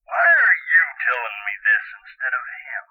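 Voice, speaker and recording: An actor playing a gruff, dismissive small-town sheriff in a video game, curt and folksy over the telephone. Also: male voice, medium pitch, huge pitch swings, normal speed, narrow, tinny audio.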